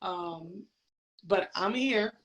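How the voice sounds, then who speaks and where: A woman's voice: medium pitch, very slow, rough recording. Woman in her forties, chatting. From San Juan, Puerto Rico. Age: late 40s. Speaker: podcast guest, crypto educator. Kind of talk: casual conversational interview.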